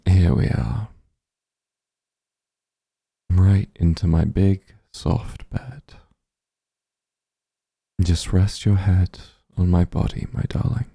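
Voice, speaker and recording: A man playing a doting, possessive demon lord in an intimate ASMR roleplay, speaking in a tender, coaxing hush with a dark, husky voice, close to the microphone. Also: sits low, takes it slowly, pauses often, dry recording, heavily acted. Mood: tender, reassuring. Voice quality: very dark, husky, gravelly, breathy. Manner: tender, coaxing, teasing, hushed. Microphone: close microphone, dry.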